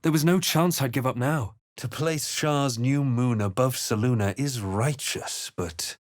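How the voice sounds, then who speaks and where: A man playing a brooding, wry adventurer hero in a fantasy game, speaking in a measured, grave, literary way. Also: medium pitch, no echo, clean studio sound, close to an everyday voice. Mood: grave, narrating. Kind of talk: scripted game voice lines and narration.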